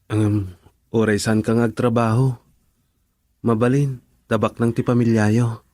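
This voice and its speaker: Man as devoted young sweetheart in radio drama: mid-pitched, gentle, humble, close dry recording. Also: little pitch movement, measured pace, no echo, close to an everyday voice. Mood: tender, humble.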